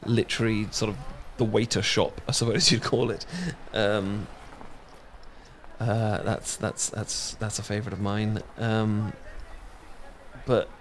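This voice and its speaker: A man's voice: lowish voice, little pitch movement, slow pace, a little hiss or hum. A young British man in a romantic audio roleplay, talking shyly with many fillers and restarts. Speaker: ASMR audio roleplay performer.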